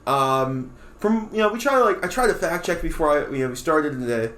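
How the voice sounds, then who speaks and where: A man's voice: on the high side, expressive, a little fast, fairly bright tone, roomy sound. A man co-hosting a podcast in casual chat, rambling with many restarts. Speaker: podcast co-host.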